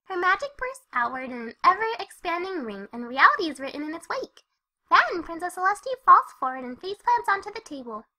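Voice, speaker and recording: Casting: an exuberant cartoon pony girl storyteller, extremely high and bright, animated delivery. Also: a little fast, exaggerated voice, poor audio. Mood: lively narrating. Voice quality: squeaky, girlish. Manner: exuberant, gushing. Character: bubbly excitable young female pony narrating. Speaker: fan fiction reader. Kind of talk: animated first-person story narration.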